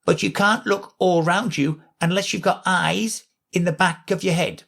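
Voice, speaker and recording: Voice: mid-range voice, moderate pace, gravelly, a bit bright, pitched above the performer's own voice. A reader voicing an old female sheep who keeps a shop and speaks in a dry, matter-of-fact way. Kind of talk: storybook reading.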